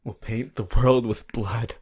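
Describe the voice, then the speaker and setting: A voice actor playing a possessive, unhinged yandere lover in an ASMR roleplay, slow, intimate and breathy, swinging between tender and manic. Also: medium pitch, fairly even intonation. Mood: bitter, bloodthirsty. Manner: slow, drawn-out, intimate, swelling from whispers to loud outbursts.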